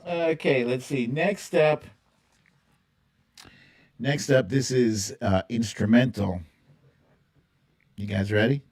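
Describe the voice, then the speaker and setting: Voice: mid-range voice, slow, pauses often, a little mellow. A livestream host chatting casually and playfully with viewers, rambling and thinking aloud while running an informal quiz. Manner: playful, breaks into humming and singing theme tunes. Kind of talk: casual live chat with a TV theme quiz.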